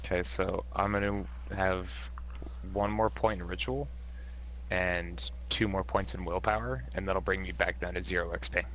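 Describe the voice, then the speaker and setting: Low, bright-voiced male player. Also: steady tone, a little hiss or hum, rough recording. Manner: short, quick acknowledgments. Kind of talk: casual play at a tabletop game.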